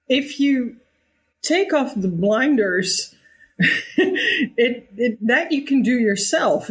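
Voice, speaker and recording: A woman in her fifties speaks in an unhurried, reflective way. She often restarts her sentences and laughs easily as she talks. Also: on the high side, poor audio. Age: 50s. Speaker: guest. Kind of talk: conversational interview.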